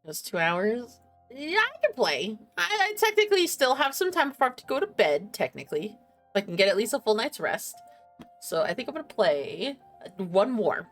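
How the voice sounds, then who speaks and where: A woman streams games and chats casually with her viewers over background music. Her pitch moves around a lot, and her manner is playful and giggly, as she half talks to herself. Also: big pitch swings, normal speed, fairly bright tone. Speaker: game streamer, host. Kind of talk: casual livestream chat with viewers.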